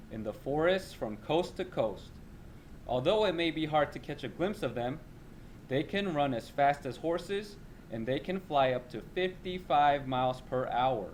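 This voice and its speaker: A man's voice: medium pitch, slight background noise. A man reading a children's nonfiction text aloud as a teacher.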